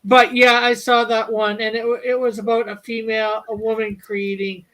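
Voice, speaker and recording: High-voiced man hosting a chat show. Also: flat delivery, moderate pace, a bit bright, rough recording. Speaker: podcast host reading the chat. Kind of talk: casual chat.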